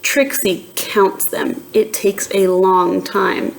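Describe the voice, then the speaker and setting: Slow-paced woman reading a storybook. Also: medium pitch, fairly even intonation, creaky, low-quality recording. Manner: slow, deliberate storytelling with questions to young listeners. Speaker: teacher reading a picture book aloud to students. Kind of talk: expressive children's storybook read-aloud.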